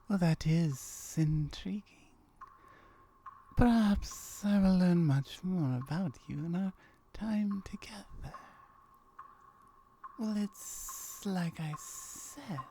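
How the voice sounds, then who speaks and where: A woman voicing a lonely, guarded gorgon in ASMR roleplay, speaking softly in hesitant, formal phrases that often drop near a whisper. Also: lowish voice, slight background noise, full character voice. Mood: intrigued. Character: lonely, guarded female gorgon, wary yet curious.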